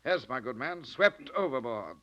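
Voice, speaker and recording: An actor playing a stuffy, sceptical British destroyer captain, brisk and condescending, in 1940s radio acting style on a dull old recording. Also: male voice, mid-range voice, animated, a bit bright, lightly acted. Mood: placating, brisk.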